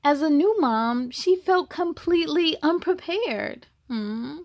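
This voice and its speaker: A woman hosting a children's story time, reading a picture book aloud expressively, with animated exclamations, musing asides and questions to the listeners. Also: high-pitched, slow pace, no room sound.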